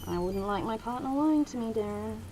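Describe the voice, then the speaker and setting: A woman's voice: on the high side, lively intonation, brisk, noisy background. A woman playing a tough, no-nonsense outpost boss in an audio drama, blunt and commanding. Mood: irritated.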